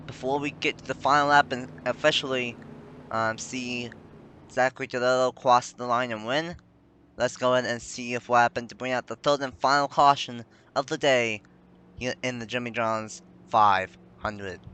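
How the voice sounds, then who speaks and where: A man's voice: medium pitch, fairly even intonation, moderate pace, sharp tone, slight background noise. A man giving rambling, self-correcting play-by-play commentary on a simulated stock car race. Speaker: sim racing commentator.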